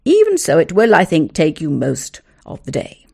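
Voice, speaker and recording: Brief: reader putting on a stern, pompous middle-aged dad, sarcastic, with a gravelly, lively lecture. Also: medium pitch, moderate pace, full character voice. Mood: stern lecturing.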